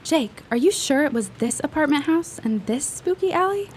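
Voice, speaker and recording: A woman's voice: high voice, lively intonation, normal speed, a little hiss or hum. An actress playing an eager, inquisitive young woman sleuth in a period audio drama, with a confiding manner. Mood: eager, hushed excitement.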